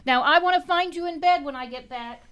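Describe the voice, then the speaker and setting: A woman's voice: high-pitched, fairly quick, fairly bright tone. A woman playing a firm, fussing housewife and mother in an old-time radio comedy, sounding concerned and insistent. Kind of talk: 1940s radio comedy acting.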